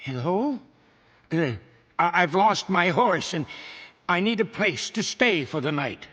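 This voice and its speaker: An actor playing a kindly, worried older male inventor with a gravelly, wavering voice, from a film soundtrack. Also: high-pitched, animated, measured pace, a bit bright, big-room sound, exaggerated voice. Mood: anxious.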